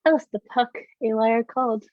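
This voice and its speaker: A woman's voice: a little high, steady tone, moderate pace, lightly acted. A woman performing a mischievous but honest sprite in a recited Shakespeare verse monologue, bidding the audience goodnight. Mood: measured, apologetic. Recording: roomy echo, dull lo-fi audio.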